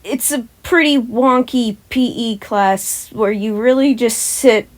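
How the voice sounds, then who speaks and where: A woman's voice: on the high side, takes it slowly. Young woman, informal and a little stumbling. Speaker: podfic reader and channel host. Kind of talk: casual chatty intro and amateur story narration.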